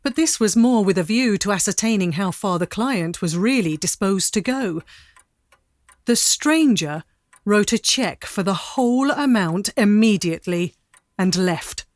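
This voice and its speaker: A woman's voice: mid-range voice, lively intonation, a little slow, no room sound. A woman reading scripted narration for a dramatic audio story.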